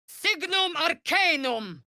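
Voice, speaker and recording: A woman's voice: very high-pitched, very animated, takes it slowly, sharp tone, no room sound, clean studio sound, exaggerated voice. A woman playing a fierce, proud alien warrior in a video game, haughty and clipped, with formal, disdainful phrasing. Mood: fierce battle cries. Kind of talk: video game character voice lines.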